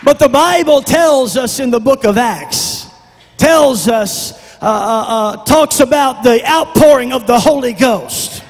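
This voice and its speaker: A middle-aged man preaching on a church stage into a handheld mic, with a shouting, half-sung preacher cadence that drops to quiet prayer. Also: very high-pitched, lively intonation, unhurried. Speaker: pastor. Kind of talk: Pentecostal sermon and prayer. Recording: long church reverb, music behind.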